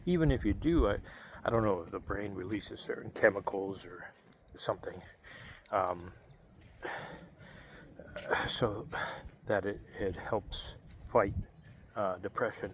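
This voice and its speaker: A man in his fifties talking casually to camera, halting and full of fillers and restarts. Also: fairly low pitch, slight background noise. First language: English. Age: 50s. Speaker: vlogger. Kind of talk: casual personal talk to camera.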